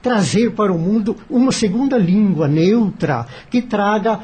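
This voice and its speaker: A man with a Brazilian accent and a husky voice, speaking earnestly and formally as he reflects in a discussion. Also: high-pitched, expressive, unhurried, a little mellow. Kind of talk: reflective discussion recounting a story.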